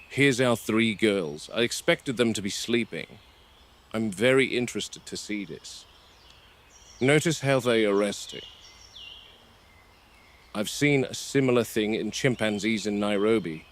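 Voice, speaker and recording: A man plays a seasoned, irascible park warden in a found-footage horror drama. His voice sits fairly low and his delivery is blunt and exacting, like a field report. Faint ambient noise runs behind him. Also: lightly acted. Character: irate, seasoned male dinosaur park game warden. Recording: noisy with ambient background sound.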